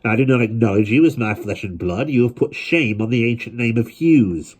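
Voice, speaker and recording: A man's voice: mid-range voice, normal speed, a little mellow, husky, pitched above the performer's own voice, close to an everyday voice. A man voicing a stern, proud old museum keeper who speaks in a cold, clipped, formal way. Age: older.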